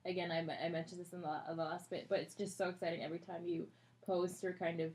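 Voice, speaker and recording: A woman's voice: fairly low pitch, little pitch movement, fast talker, darkish tone. A woman co-hosting a podcast, chatting casually and rambling with lots of "like" and "you know.". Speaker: podcast co-host. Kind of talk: casual chat.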